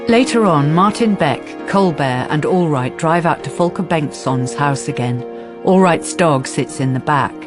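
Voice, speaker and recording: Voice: mid-range voice, lively intonation, unhurried, music in the background, natural voice. A performer narrating a crime radio drama in a detached, scripted present-tense style. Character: detached storyteller of a crime story.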